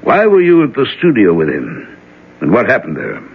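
An actor playing an older minister and troubled father, speaking in a measured, earnest, gently probing way in a 1970s radio drama. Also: on the low side, huge pitch swings, vocal fry, breathy edge, exaggerated voice. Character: aging reverend, troubled worried father. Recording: muffled old radio broadcast.

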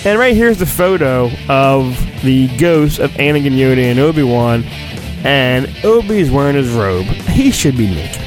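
A man's voice: medium pitch, animated, a little slow, music in the background. A man hosting a podcast, giving a mock-dramatic reading of a children's storybook and breaking off into cheeky, joking asides. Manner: theatrical, juvenile innuendo, breaks off into asides. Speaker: podcast host.

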